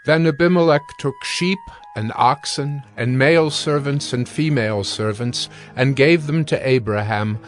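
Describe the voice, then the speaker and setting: A man narrating scripture from a script in a measured, solemn manner. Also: mid-range voice, faint music behind.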